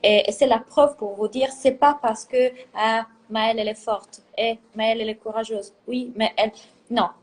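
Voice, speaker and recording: A woman's voice: fairly high pitch, fairly even intonation, moderate pace. A woman guest coach speaking French as a second language, earnest and emphatic, repeating words for stress. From Italian family. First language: not French. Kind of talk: casual talk, motivational.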